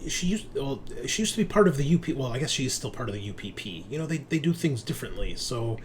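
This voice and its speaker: A man acts as game master for a tabletop roleplaying game. He switches between conversational rulings and improvised narration, in a mid-pitched voice. There is noticeable noise in the background. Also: sing-song, fast talker.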